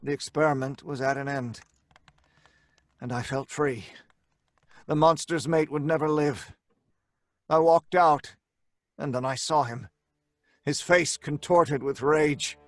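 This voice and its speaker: An actor playing a tormented, guilt-ridden scientist, earnest and dramatic, in old time radio horror. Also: male voice, fairly high pitch, slow, long pauses, no echo. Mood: tense narrating. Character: tormented, guilt-ridden scientist telling his tragic story.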